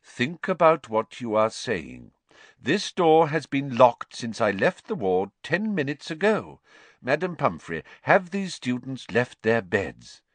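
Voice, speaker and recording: A man plays an old storybook headmaster, unruffled and faintly amused. He speaks quietly and deliberately, with measured weight on his words. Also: medium pitch, sing-song, dry recording, pitched above the performer's own voice. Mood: quiet firmness.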